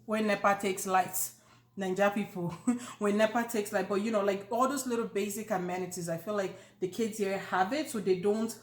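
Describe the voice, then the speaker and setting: A woman with a Nigerian accent, co-hosting a casual chat, joking and animated with many fillers. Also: mid-range voice, normal speed. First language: not Yoruba. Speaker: co-host. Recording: echoey room.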